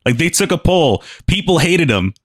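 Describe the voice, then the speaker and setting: A man's voice: a little high, big pitch swings, normal speed, dry recording, studio quality. A man co-hosting a casual podcast chat, talking loosely and laughing often as he restarts his sentences. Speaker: podcast co-host.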